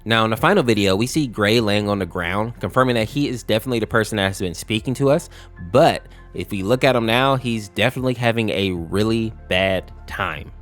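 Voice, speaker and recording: Man, lowish pitch, casual video narration, conversational phrasing, faint music behind. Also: a little fast, a bit bright. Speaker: video narrator explaining horror lore. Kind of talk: casual explainer commentary. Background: music bed.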